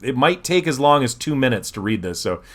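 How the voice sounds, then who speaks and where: A man's voice: mid-range voice, very animated, a little fast, fairly bright tone, slight background noise. A man co-hosting a show, chatting casually and reading a story aloud. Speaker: show co-host and story reader. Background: music.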